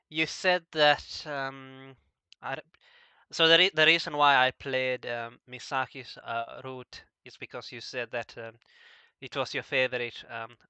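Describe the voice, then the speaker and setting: A man talking casually as an interviewer, halting and stammering over the starts of his words. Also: mid-range voice, bright, forceful tone, smooth, no room sound. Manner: halting, stammering, frequently repeating word starts. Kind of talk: casual discussion of visual novels.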